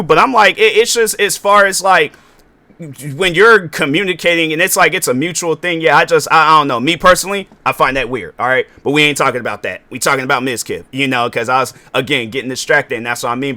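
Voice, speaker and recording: A man's voice: a little high, bright, forceful tone. A man, giving a rambling casual commentary on his podcast with many fillers and restarts. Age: 20s. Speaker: podcast host.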